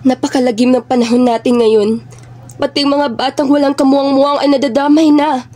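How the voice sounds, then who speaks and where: A grave, earnest underground activist acted by a woman with a high voice. The lines come as amateur radio drama dialogue. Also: little pitch movement, fairly quick, mellow, lightly acted. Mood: somber.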